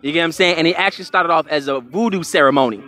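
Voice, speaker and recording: Man, high bright voice, quick, street interviewer, casual and slangy, outdoors at a carnival. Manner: energetic, questioning, slangy.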